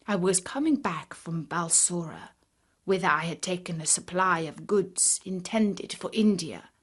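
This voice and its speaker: A woman's voice: on the low side, husky, heavily acted. A woman voicing a humble, pleading blind beggar man in formal, beseeching storybook speech. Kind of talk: children's storybook reading.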